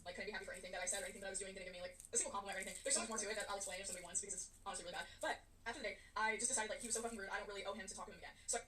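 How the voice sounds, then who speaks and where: A woman rushes through an indignant story, speaking straight to camera in a middle pitch. She sounds a little off-mic, with light hiss behind her. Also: fairly even intonation, fairly bright tone, far from the mic. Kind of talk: storytime video.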